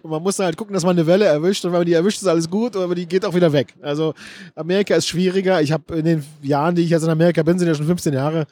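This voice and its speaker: A middle-aged man answers interview questions at a book fair, searching for words and restarting sentences. His voice is mellow and fairly high for a man. The sound is close and dry. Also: fairly even intonation, rapid-fire, no echo. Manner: thoughtful, restarts sentences often, many fillers. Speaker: interview guest, screenwriter and director. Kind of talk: casual interview talk.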